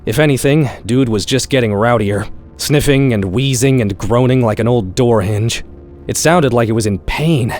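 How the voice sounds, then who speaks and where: A man reading a horror-comedy short story aloud in an expressive, wry, profane style. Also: mid-range voice, normal speed. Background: background music.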